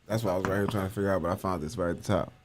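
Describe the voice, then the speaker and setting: A man's voice: deep voice, fairly even intonation, rapid-fire, slight background noise. A man co-hosting a casual podcast, laid-back, giving short replies. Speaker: podcast co-host.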